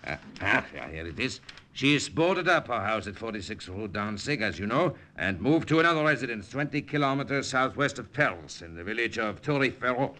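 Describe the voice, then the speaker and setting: Pompous nazi commandant, sharp bright voice, oily charm, old noisy radio show. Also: male voice, mid-range voice, sing-song, a little fast. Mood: suavely obliging. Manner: unctuous, pompous, lingering over phrases. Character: smug, courtly male Nazi commandant in occupied France. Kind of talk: 1940s radio acting.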